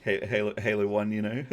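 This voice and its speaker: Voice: medium pitch, expressive, normal speed. Someone chatting casually and jokingly as the host of a group discussion, using playful gamer slang.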